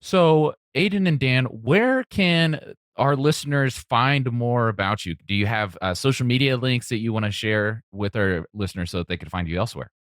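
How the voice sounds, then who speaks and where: A man's voice: mid-range voice, moderate pace, a bit bright, dry recording, clean studio sound. A man hosting a podcast, interviewing his guests in a conversational way. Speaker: podcast host.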